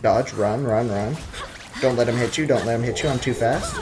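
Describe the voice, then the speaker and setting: A man's voice: medium pitch, normal speed, noisy background. A young adult man giving casual, slangy live gameplay commentary with jokey asides. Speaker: game streamer. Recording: game music behind.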